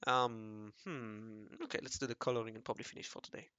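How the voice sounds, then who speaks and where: A man with a raspy voice talking casually to himself while he draws, mumbling and correcting himself as he goes. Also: on the low side, fairly even intonation, quick pace, pushed and bright, muffled recording. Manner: mumbling and self-correcting, often murmuring half to himself. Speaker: hobby artist narrating his drawing session. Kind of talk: casual think-aloud commentary while drawing.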